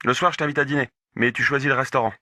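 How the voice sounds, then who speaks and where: A man's voice: mid-range voice, fairly even intonation, racing, pushed and bright, a little hiss or hum. A man acting as a courteous everyday character in a scripted language-lesson dialogue. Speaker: dialogue actor.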